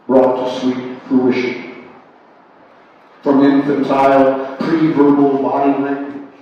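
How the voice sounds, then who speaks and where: Man reading poetry slowly in an echoey hall. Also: on the high side, darkish tone, a little hiss or hum. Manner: measured, deliberate phrasing with frequent pauses. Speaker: poet reading own work. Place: live reading in an art gallery. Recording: echoey hall, distant mic, muffled.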